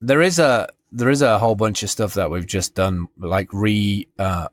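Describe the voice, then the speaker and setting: Low-voiced British man, rambling chat. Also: normal speed, no room sound, clean studio sound. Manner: rambling, self-interrupting, laughs often. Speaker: podcast co-host. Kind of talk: casual chat.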